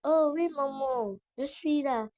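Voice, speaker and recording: A woman's voice: high-pitched, slow, a little mellow, pitched above the performer's own voice. A woman voicing a falsely sweet stepmother who repeats herself hesitantly in a family skit. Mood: falsely cheerful.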